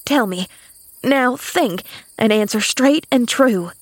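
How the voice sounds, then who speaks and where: A woman voicing an excitable, gossipy housemaid in an audiobook, with dramatic, folksy, ungrammatical speech. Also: a little high, unhurried, dry recording, pitched above the performer's own voice. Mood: excited. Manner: dramatic, folksy, ungrammatical dialect, repeats herself. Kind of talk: audiobook character voice.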